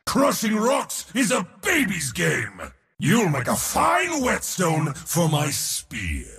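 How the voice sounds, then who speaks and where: An actor playing a merciless, boastful god of war in a video game, declaiming imperious taunts in a theatrical way. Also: male voice, high-pitched, wildly swooping pitch, slow pace, vocal fry, fairly bright tone, full character voice. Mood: booming defiance. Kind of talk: video game character voice lines.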